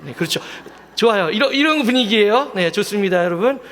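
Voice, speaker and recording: A man preaching a Sunday sermon as pastor, in a lively manner, often asking the congregation questions. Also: high voice, fast talker. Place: church sanctuary. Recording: long hall reverb in a church.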